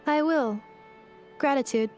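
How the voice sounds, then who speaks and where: Game robot girl: high voice, polite, literal and stilted, music underneath. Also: takes it slowly. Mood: formal, earnest, curious. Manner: formal, stilted, literal phrasing. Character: polite, literal-minded female robot with recovering memory. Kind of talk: video game voice acting.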